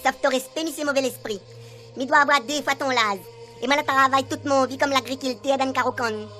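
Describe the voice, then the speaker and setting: High-pitched indignant Creole character. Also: female voice, steady tone, a little fast, bright, forceful tone, faint music behind. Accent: Réunion Creole. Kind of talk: comic radio serial acting.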